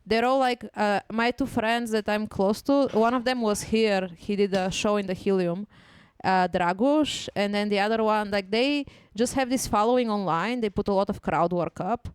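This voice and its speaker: Woman, young adult, Bulgarian accent, smooth voice, dry close sound, casual laughing podcast chat. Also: mid-range voice, normal speed, no echo. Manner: laughing often, self-deprecating and animated. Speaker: stand-up comedian guest. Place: podcast studio.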